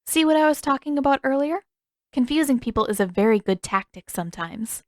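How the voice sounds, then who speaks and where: A woman's voice: sits high, animated, a little fast, no room sound, studio quality, pitched above the performer's own voice. A woman voicing a perceptive teenage girl who talks in a candid, lilting, playful way. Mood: teasing.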